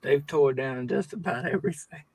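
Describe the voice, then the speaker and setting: A man's voice: mid-range voice, normal speed, a little mellow, dry recording. A man in casual group conversation, with informal, folksy phrasing.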